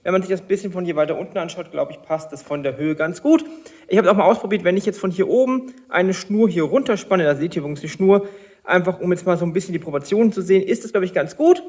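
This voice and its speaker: A man with a fairly high voice, a little stuffy from a cold. He talks in a relaxed, chatty way and explains every step of his building work to his viewers. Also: quick pace. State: has a cold. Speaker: LEGO builder and channel host. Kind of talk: casual build vlog narration.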